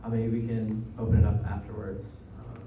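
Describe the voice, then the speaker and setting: A man's voice: on the low side, level tone, normal speed, dark tone. A young American man from New Jersey giving an informal academic talk as a guest speaker, conversational and often checking in with the listeners. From New Jersey, United States. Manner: conversational, often tags points with "right?". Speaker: guest lecturer, graduate student. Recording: muffled, echoey room, distant mic, faint noise.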